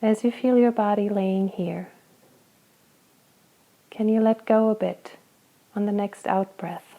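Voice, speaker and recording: A woman guiding a body scan meditation, speaking slowly and gently with long pauses between phrases. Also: medium pitch, steady tone, phone-quality sound. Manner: slow, soft, gentle guidance with long pauses. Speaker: meditation guide.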